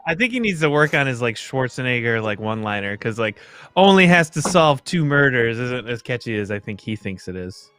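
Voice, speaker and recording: A man's voice: mid-range voice, very animated, a little fast, a bit bright, clear, resonant tone. A young man chatting casually as a cast member on a live stream. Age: young adult. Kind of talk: casual chat.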